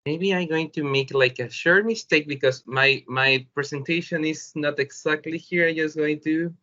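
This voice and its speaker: Bright-voiced Salvadoran man presenting on a call. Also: a little high. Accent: Salvadoran Spanish. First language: Spanish. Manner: halting, searching for words in a second language. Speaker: presenter, educator. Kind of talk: informal webinar presentation. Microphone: video call. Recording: dull, lo-fi video call audio.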